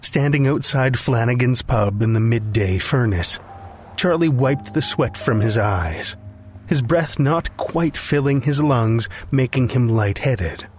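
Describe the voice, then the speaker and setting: A man's voice: medium pitch, darkish tone, a little hiss or hum. A man narrating scripted fiction for a podcast as its storyteller. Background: music.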